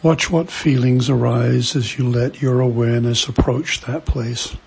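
A man with a very husky, breath-laden voice guides a meditation. He speaks very slowly and gently, in short hushed phrases. Long pauses separate each phrase from the next. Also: mid-range voice, fairly even intonation.

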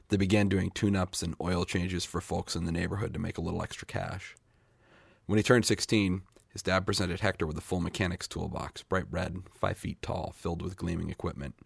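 A man's voice: low-pitched, gravelly, slightly rough, dry recording, studio quality. A man reading literary short fiction aloud as a scripted narration. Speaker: short story reader.

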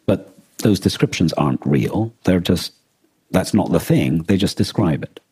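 A man co-hosting a podcast in reflective conversation, speaking earnestly in halting, emphatic phrases. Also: low-pitched, expressive, dark tone, husky, no echo. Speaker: podcast co-host.

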